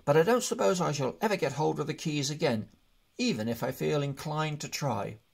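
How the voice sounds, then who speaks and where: A man voicing a small hobbit burglar who talks in a brisk, bossy, exasperated way in a storybook reading. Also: a little high, creaky, pitched above the performer's own voice. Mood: annoyed, downcast. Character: small male hobbit burglar, urgent, put-upon and testy.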